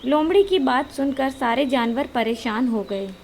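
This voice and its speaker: A woman storyteller with a fairly high voice reads a scripted children's tale. Faint noise runs behind her narration. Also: animated, a little slow.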